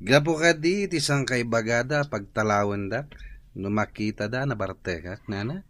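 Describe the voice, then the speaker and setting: A man's voice: medium pitch, normal speed, a bit bright, natural voice. A man acting a bitter, aggrieved young son of a wealthy family in an Ilocano radio drama. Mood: sullen bitterness.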